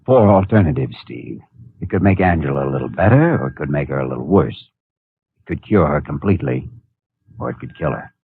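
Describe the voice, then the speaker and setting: An actor playing an earnest, steady young doctor in a 1950s radio drama. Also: male voice, very deep voice, fairly quick, husky, dry recording, heavily acted. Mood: explaining. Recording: tinny lo-fi 1950 radio broadcast.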